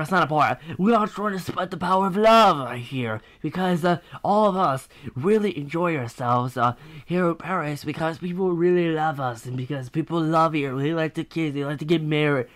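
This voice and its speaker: A rambling French character, put on by an amateur voice actor, pitched well below the performer's usual voice. The manner is offended, dismissive and lazy. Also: a little fast, hardly any pauses, a bit bright, close to an everyday voice.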